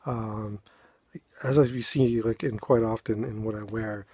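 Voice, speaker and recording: Low husky man with a New England accent. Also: steady tone, dark tone, no echo. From Boston. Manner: halting, many fillers and restarts. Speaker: poet. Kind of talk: casual chat and poetry reading.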